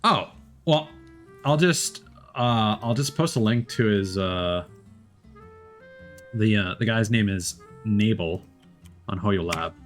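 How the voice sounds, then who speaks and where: A man's voice: on the low side, takes it slowly, pauses often, soft background music. A man livestreaming a video game, thinking aloud in a casual, rambling way and swearing now and then. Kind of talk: casual gaming stream chat.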